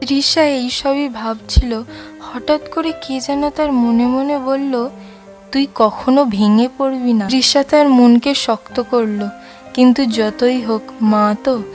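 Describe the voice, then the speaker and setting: Female storyteller for scripted cartoon narration, higher register, light music underneath. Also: a little mellow.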